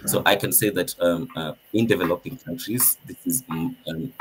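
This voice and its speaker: A man's voice: low voice, normal speed, husky, rough recording. A man with a Nairobi Kenyan accent, speaking as a panelist over a video call, thoughtful with many ums. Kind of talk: panel discussion.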